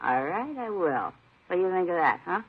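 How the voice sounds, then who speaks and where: A woman's voice: on the low side, big pitch swings, moderate pace, husky, full character voice. An actress in a 1950s radio crime drama playing a defiant, sarcastic woman suspect who talks in slangy, challenging questions, on a dull vintage recording. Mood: sullen, tipsy.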